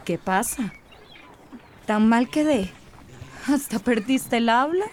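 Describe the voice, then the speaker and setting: An actress playing a playful, confident relief worker in a radio drama, teasing and direct. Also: female voice, a little high, expressive, slow pace, a little hiss or hum. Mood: light, curious.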